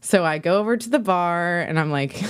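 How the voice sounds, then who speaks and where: A woman guest on a podcast tells a casual story in a chatty, conversational way. Her voice sits fairly low, and the sound is dry with little room around it. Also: animated, normal speed, clean tone, no room sound. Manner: chatty, peppered with "like", laughing as she talks.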